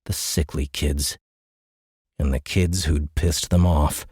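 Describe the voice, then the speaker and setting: A man with a low voice narrates a dramatic science fiction audiobook. His delivery is measured and suspenseful, like scripted storytelling. The recording is close and very dry. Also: fairly even intonation, a little mellow, slightly rough, no room sound. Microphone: close studio microphone.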